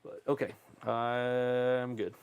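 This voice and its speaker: Male board game streamer, conversational, thinking out loud through each move. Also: mid-range voice, flat delivery, slow, smooth, muffled recording. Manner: talks through moves aloud. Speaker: board game player and host of a live play stream. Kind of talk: casual chat during board game play.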